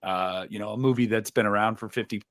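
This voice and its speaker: A man co-hosting a podcast, reasoning through his opinions in a casual, measured way and sometimes voicing hypothetical quotes. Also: on the low side, steady tone, a bit bright. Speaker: podcast co-host.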